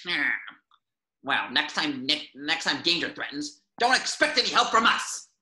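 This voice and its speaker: A man's voice: on the high side, big pitch swings, measured pace, pushed and bright, exaggerated voice. A man playing a grumpy, sarcastic superhero sidekick in a cartoon table reading, whining and griping in an echoey room.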